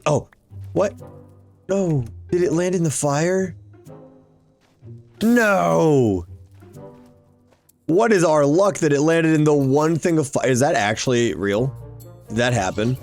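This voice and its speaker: A man's voice: fairly high pitch, a little mellow. A man giving casual, exclamation-filled gameplay commentary, often talking to himself as he plays. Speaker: gaming YouTuber hosting a Minecraft series.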